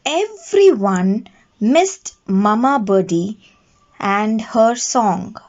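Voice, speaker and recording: A woman reading a children's bedtime story aloud in a deliberate, expressive way. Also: on the high side. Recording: dull, lo-fi recording.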